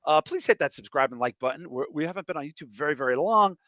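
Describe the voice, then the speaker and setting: A man's voice: on the high side, expressive, quick pace, a bit bright, no room sound. A man hosting a casual comedy podcast, cracking crude jokes and plugging the show with energy. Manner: crude joking, enthusiastic show plugs. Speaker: podcast host. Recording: muffled, slightly lo-fi stream audio.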